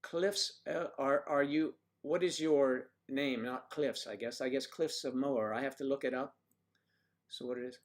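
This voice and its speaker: A man hosts a casual livestream from home, reading out viewers' comments. He rambles and cracks jokes as he greets people in the chat. His voice sits at an ordinary middle pitch. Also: steady tone, normal speed. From United States. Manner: chatty, jokey, rambling, talks to viewers by name.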